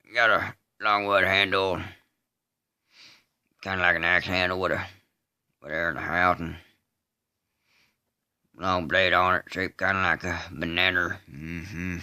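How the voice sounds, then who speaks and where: A man performing a film-style monologue as a slow, simple-minded rural killer, unrepentant, in a halting deadpan Southern US drawl with grunted hums. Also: deep voice, smooth, dry recording, clean studio sound, full character voice. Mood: flat, matter-of-fact. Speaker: amateur voice artist. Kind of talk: dramatic monologue re-enactment of a film scene.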